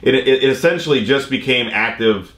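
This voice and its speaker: An American man in his fifties talks in an informal, explanatory way, like a vlog host walking viewers through details. His voice sits at an average pitch, and the room around him adds clear echo. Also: brisk, a bit bright. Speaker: vlog host, commercial drone pilot. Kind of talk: conversational explainer. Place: indoor workshop or studio room. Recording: roomy, echoing indoor sound.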